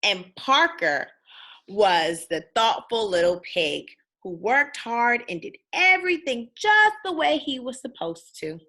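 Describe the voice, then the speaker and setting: A woman's voice: on the high side, very animated, slow pace, pushed and bright. A woman reading a children's picture book aloud expressively, stopping to put questions to young listeners. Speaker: storybook reader. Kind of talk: animated read-aloud of a children's storybook.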